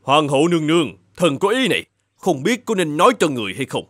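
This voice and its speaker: A man's voice: on the high side, sing-song, fast talker, no echo, clean studio sound. A man voicing a scheming, deferential middle-aged court official in a historical cartoon. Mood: sly, deferential. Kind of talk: dubbed historical cartoon acting.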